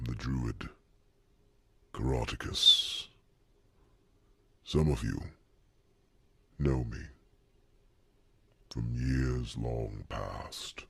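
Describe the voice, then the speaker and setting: An ancient druid, with an extremely low voice full of rasp and breath. He speaks very slowly and deliberately, a wise and wry fantasy mentor addressing royalty with theatrical pauses. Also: fairly bright tone, exaggerated voice. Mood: proud, proclaiming. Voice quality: very deep gravelly rasp, breathy. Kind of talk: ASMR fantasy roleplay acting.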